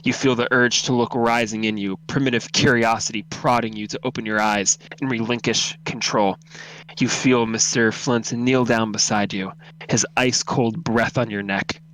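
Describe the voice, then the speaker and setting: A man's voice: medium pitch, fairly even intonation, normal speed, a bit bright, low-quality recording. A man reading a horror story aloud as narrator, dramatic, intense and suspenseful.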